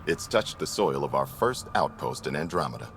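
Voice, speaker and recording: An actor playing a gruff, plain-spoken middle-aged frontier mayor in a video game, speaking in clipped, resolute, folksy phrases. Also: male voice, animated, normal speed, background noise, heavily acted. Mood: solemn, resolute. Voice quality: very deep voice. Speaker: colony mayor character. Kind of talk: video game dialogue acting.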